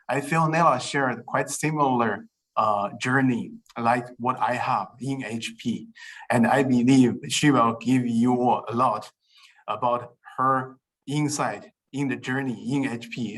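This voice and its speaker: A man's voice: mid-range voice, a bit bright, low-quality recording. A man hosting an online session, introducing the next speaker in a hesitant way, with uhs and repeated words. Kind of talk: online presentation.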